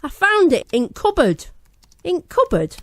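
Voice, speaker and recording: A man voicing a young girl from West Yorkshire reading out her diary in a deadpan way. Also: extremely high pitch, unhurried, dry recording, voice pushed up, full character voice.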